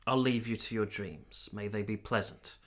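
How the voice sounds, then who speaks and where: An actor playing an earnest, reflective nineteenth-century gentleman in a radio drama, with measured, formal phrasing. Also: lowish voice, fairly even intonation, lightly acted. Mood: gentle, courteous. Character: earnest, reflective gentleman of 1870s Italy, troubled lover. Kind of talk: radio drama dialogue and first-person narration.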